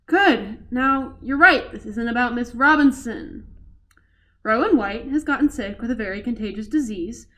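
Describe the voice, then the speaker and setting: A woman's voice: on the high side, normal speed, a bit bright, natural voice. A woman playing a stern, threatening boss and cold schemer, curt and commanding, in a radio play.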